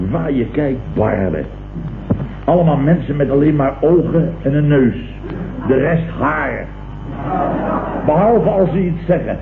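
Casting: blustering, self-satisfied company boss for vintage radio comedy; thin noisy sound, mocking repeats. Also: male voice, a little high, creaky, phone-quality sound, full character voice. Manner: pompous, repeats others' words mockingly. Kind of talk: 1970s Dutch radio comedy acting. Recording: noisy, roomy old radio broadcast recording.

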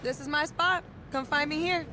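A woman acts out a young, streetwise American organizer for a video game. Her voice is high, her talk slangy, easygoing and matter-of-fact. Clear car-meet noise runs behind her. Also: moderate pace, natural voice. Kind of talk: video game cutscene acting. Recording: noisy car meet ambience.

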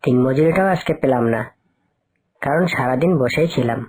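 A man voicing a poor, resigned working father in an animal cartoon, with a husky voice and weary manner. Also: medium pitch, moderate pace, a little mellow, natural voice. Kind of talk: cartoon character acting.

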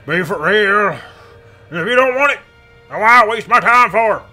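A man in his thirties playing an angry, fed-up radio show owner in an intense, halting dramatic reading. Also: high-pitched, animated, pushed and bright, full character voice. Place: dark room, seen close to camera. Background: ominous background music.

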